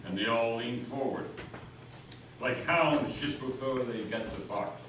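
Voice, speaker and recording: Slow-paced male storyteller in echoing hall. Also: medium pitch. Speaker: narrator. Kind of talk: dramatic reading of a story. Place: meeting house hall. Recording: echoey hall from far off, noisy.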